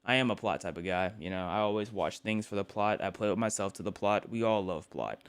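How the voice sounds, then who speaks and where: A man's voice: on the low side, a little fast, clean tone. A tired young man live-streaming late at night, rambling and joking with his chat, often repeating and restarting his words. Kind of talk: casual live-stream chatter.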